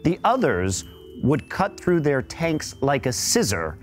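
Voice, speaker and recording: A man's voice: medium pitch, huge pitch swings, slow, soft background music. A man hosting and analyzing on a casual esports panel, with playful storytelling delivery.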